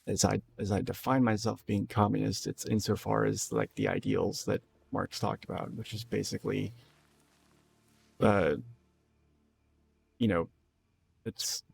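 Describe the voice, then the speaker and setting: A tired, hoarse man streaming a game, rambling in loose casual talk and swearing freely. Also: on the low side, steady tone, a little slow, long pauses, darkish tone, a little hiss or hum. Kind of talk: casual live-stream chat.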